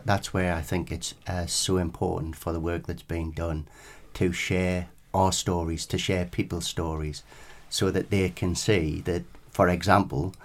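Deep-voiced man, hesitant and earnest. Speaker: panel speaker.

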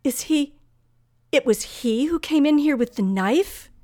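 Frightened young heroine of a vintage radio thriller: high, slow, breathless, dramatic. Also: female voice, expressive, mellow. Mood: shocked. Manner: breathless, dramatic, deliberate phrasing. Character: Linda, terrified young woman recounting a night of terror. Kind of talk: old-time radio thriller acting and narration. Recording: vintage radio recording with music behind.

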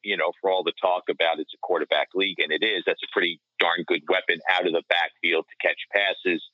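A man's voice: deep voice, level tone, fairly quick, no room sound. A man giving casual, conversational sports analysis as a podcast co-host, on lo-fi audio. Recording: dull, lo-fi remote audio.

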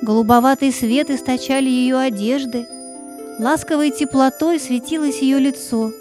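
A woman narrating a children's audio play as a scripted story, in an expressive, lyrical storytelling manner. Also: high-pitched, moderate pace, soft background music.

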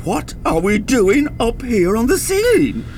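An older man with an Irish accent playing a querulous, gullible retired Dublin father in a radio play, expressive and a little hesitant. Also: extremely high pitch, unhurried, slight background noise, heavily acted. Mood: bewildered, alarmed. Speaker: radio drama actor.

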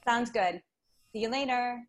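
Woman acting high-voiced, easygoing friend. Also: unhurried, soft background music, close to an everyday voice. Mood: casual. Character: easygoing young female friend. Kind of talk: radio drama acting.

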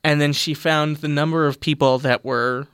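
A man's voice: on the high side, normal speed, smooth, no room sound, studio quality. A young man with a slight lisp, talking earnestly and expressively in a casual panel discussion. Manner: expressive, animated, explaining earnestly. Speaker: panel guest.

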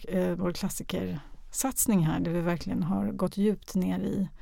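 A woman's voice: lowish voice, little pitch movement, vocal fry, mellow, dry recording. A woman being interviewed as a radio drama professional, speaking conversationally and hesitantly as she searches for her words. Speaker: radio drama director or producer, interview guest. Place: close, dry podcast recording.

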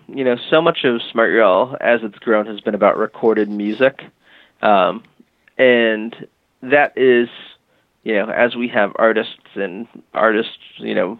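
Brief: low-voiced gravelly male guest, halting and filler-heavy, tinny dry phone-quality line. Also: dry recording. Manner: hesitant, lots of "you know" and "um" fillers. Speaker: guest, music marketing tech company. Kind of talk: casual podcast interview. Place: Boston. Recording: dry, phone-like narrow band.

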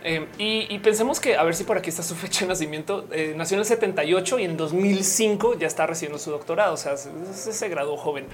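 A woman's voice: fairly low pitch, fast, few pauses. A young woman from Colombia who speaks, hosting a casual livestream, chatty and playful as she reads comments and laughs often. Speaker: livestream host. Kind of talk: casual live-stream chat reading comments.

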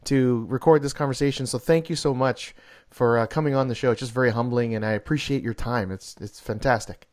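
Male podcast host, eager and jokey, middle pitch, casual delivery. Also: fairly even intonation, fairly quick, a little mellow. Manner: enthusiastic, jokey, laughs often. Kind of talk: casual interview chat.

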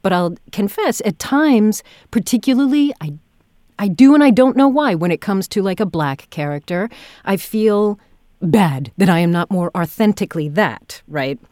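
A woman's voice: medium pitch, expressive, moderate pace, mellow, dry recording. Thoughtful woman interviewer in a dry recording. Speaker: podcast host, audio drama director and audiobook narrator. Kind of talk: conversational interview.

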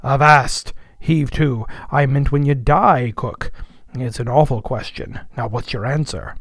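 A man's voice: medium pitch, measured pace, dry recording, studio quality. A man voicing a bossy ship's officer who teases and commands with mock solemnity in an audiobook. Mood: commanding. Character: bossy, mocking ship's officer, jauntily interrogating. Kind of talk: audiobook character voice.

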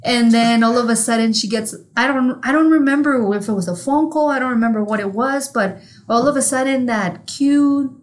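A woman's voice: a little high, normal speed. A woman from Mexico with a Mexican accent, co-hosting a podcast in casual chat, telling stories candidly and swearing freely. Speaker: podcast co-host.

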